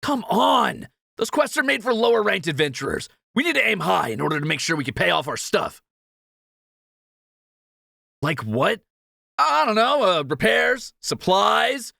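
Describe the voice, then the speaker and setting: Guy doing a cocky thief for fantasy roleplay audio, bright crackly voice, super dry close sound, snarky. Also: high voice, measured pace, vocal fry, dry recording, heavily acted. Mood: insistent. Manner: sarcastic, animated, swaggering banter. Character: cocky, greedy, teasing male thief adventurer.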